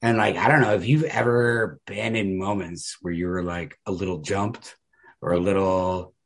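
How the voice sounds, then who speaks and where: A man's voice: deep voice, steady tone, normal speed. A man co-hosting a podcast, talking through a story casually and analytically in a rambling, emphatic way, full of "like". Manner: rambling, emphatic, peppers talk with "like". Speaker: podcast co-host.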